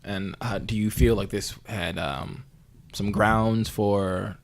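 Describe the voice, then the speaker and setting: A man's voice: lowish voice, steady tone, slow pace, creaky. A man playing an earnest, bumbling amateur podcast host who interviews in an awkward, hesitant way, avoiding any conflict. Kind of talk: mock investigative interview.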